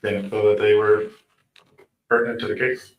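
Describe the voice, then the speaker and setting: A man's voice: on the low side, flat delivery, normal speed, dull audio. A man, a police detective, giving formal, clipped and somewhat hesitant testimony as a courtroom witness. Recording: echoey distant courtroom playback.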